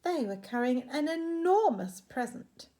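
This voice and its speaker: A woman reads a picture book aloud to children. Her voice is high and she takes her time, giving the story expression, dramatic pauses and small chatty asides. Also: big pitch swings, warm tone.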